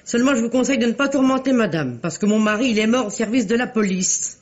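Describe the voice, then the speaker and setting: A woman's voice: on the high side, lively intonation, fast, darkish tone, lightly acted. Woman acting a blunt, fussy housemaid. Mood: indignant. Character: outspoken, protective housemaid, a policeman's widow. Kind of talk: 1950s French radio mystery drama acting. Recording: dull, band-limited old radio recording.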